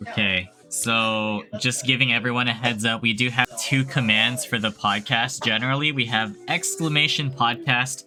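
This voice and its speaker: A man hosting a casual livestream podcast, speaking in a relaxed way with friendly slang. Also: mid-range voice, moderate pace, hardly any pauses, soft background music. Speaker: podcast host.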